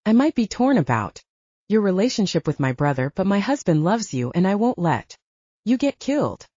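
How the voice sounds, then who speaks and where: A woman voicing a bold, loyal female werewolf with a brisk, direct manner in an audiobook. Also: medium pitch, very animated, mellow, no echo, dull audio. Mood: bold, watchful.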